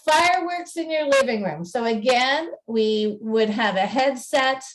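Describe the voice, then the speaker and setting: Recorded a little away from the microphone, a woman gives an informal presentation. Her voice is fairly high and she speaks slowly. She comes across as chatty and self-deprecating. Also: expressive, recorded from a distance, low-quality recording. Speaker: museum presenter and radio play narrator. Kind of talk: informal talk with slides and dramatic reading.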